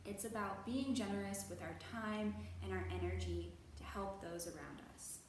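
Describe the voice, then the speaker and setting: A woman hosting a children's storytime, teaching young viewers in an encouraging manner and carefully stretching out key words. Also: medium pitch. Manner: encouraging, exaggerated slow enunciation of key words. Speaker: children's library storytime host. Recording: very echoey room, distant mic, faint noise.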